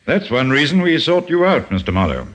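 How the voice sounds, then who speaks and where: An actor playing a coolly probing police inspector in a radio drama, formal and authoritative. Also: male voice, mid-range voice, very animated, brisk, fairly bright tone. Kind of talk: old-time radio drama acting.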